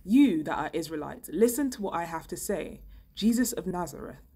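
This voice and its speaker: Mellow, lowish young woman reading scripture. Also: lively intonation, normal speed, husky. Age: young adult. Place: indoor room.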